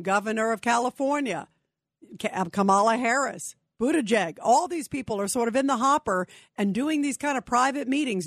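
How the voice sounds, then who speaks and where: A woman's voice: on the high side, no room sound, studio quality. A woman hosting a radio talk show, giving opinionated commentary and talking straight to her listeners. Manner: opinionated, conversational, addresses listeners directly.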